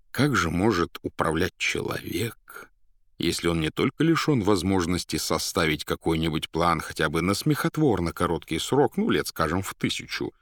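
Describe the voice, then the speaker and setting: A man voicing a mysterious foreign gentleman, polite and slyly menacing, who draws out and savours his words. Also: low-pitched, dry recording, studio quality.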